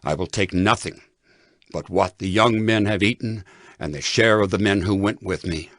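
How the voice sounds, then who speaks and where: A man's voice: low-pitched, normal speed, a bit bright, no room sound, studio quality. A man voicing a resolute patriarch in a dramatized scripture reading, speaking firmly and solemnly. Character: resolute patriarch swearing an oath to a king.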